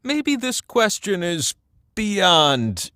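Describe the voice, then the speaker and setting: High, swooping, whimsical game-show wheel. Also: male voice, wildly swooping pitch, bright, forceful tone, dry recording, clean studio sound, full character voice. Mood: playful, teasing. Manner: theatrical, playful, drawn-out emphasis with musing asides. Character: whimsical talking wheel, all-knowing mountaintop trivia-game host. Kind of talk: scripted trivia game hosting.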